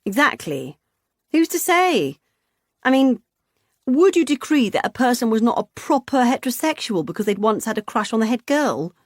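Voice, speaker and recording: A woman reader voicing a hearty, loud middle-aged woman, talking high and breezily in an audiobook. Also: very animated, moderate pace, no room sound, clean studio sound. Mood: booming, jolly.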